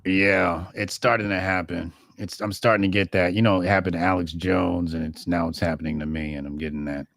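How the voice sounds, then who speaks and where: A man hosting a news-and-crypto podcast, with a hoarse, gravelly voice and a drawn-out, exaggerated announcer delivery. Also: steady tone, fairly bright tone, no echo. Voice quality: gravelly, deep radio-DJ sound. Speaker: show host. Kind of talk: casual podcast chat.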